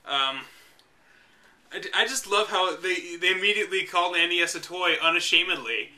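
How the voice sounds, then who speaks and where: Young man, high bright voice, sarcastic reading, dry wisecracks. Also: a little fast. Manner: mocking, dry asides between read passages. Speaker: host reading a book aloud. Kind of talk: reading aloud with sarcastic commentary.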